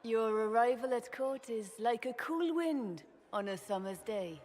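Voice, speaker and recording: An actress playing a poised, gracious empress in a fantasy game, formal, stately and courtly. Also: female voice, a little high, a little slow, a little mellow, lightly acted. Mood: gracious, regal.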